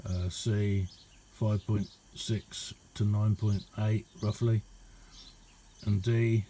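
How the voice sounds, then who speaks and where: A middle-aged man, giving a casual, halting and unhurried hands-on demonstration as a radio hobbyist. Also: lowish voice, flat delivery, dark tone, a little hiss or hum. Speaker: radio hobbyist showing a homemade receiver. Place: indoor hobby radio room.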